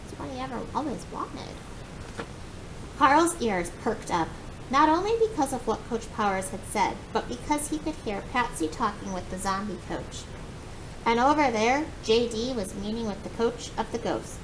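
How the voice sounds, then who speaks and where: Expressive woman storyteller over noisy background. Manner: expressive, acts out dialogue. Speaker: read-aloud storyteller. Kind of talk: animated children's book narration. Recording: clear background noise with low rumble.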